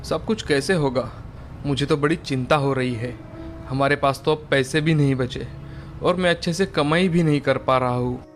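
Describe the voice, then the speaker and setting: A man's voice: medium pitch, normal speed, a little hiss or hum, natural voice. A man voicing a poor, earnest and humble village father in a cartoon story. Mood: worried. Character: poor, worried, grateful village father and brother.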